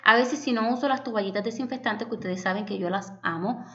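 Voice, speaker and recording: Young woman, Puerto Rican Spanish accent, affectionate chatty home-vlog narrator, mid pitch, a bit muffled. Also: brisk. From Puerto Rico. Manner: affectionate, chatty, addresses viewers as "my loves". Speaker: home vlogger.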